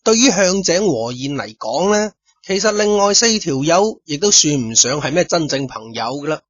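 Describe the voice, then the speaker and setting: A man's voice: on the high side, fairly bright tone, breathy edge, no room sound. A man narrating a story as a storyteller, chatty with casual asides to listeners. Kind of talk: storytelling narration of a radio drama. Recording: dull lo-fi recording.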